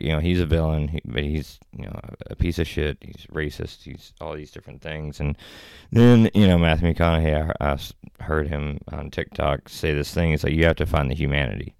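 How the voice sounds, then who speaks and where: A man's voice: extremely low pitch, vocal fry, darkish tone, clear, resonant tone, no echo, studio quality. A man who acts and makes films, talking casually as a podcast guest in a laid-back, rambling way and laughing often. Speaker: actor and filmmaker guest.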